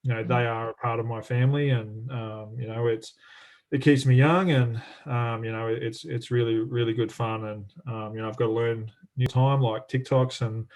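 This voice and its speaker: A man's voice: medium pitch, steady tone, moderate pace, darkish tone, rough recording. A man, an athletics coach chatting casually on a video call, rambling with lots of fillers and restarts.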